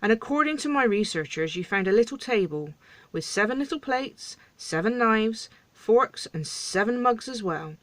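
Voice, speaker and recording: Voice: mid-range voice, expressive, moderate pace, fairly bright tone, dry recording, pitched above the performer's own voice, lightly acted. A storyteller voicing a pompous, indignant king who hosts a tabloid talk show, theatrical and emphatic. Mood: pompous, probing.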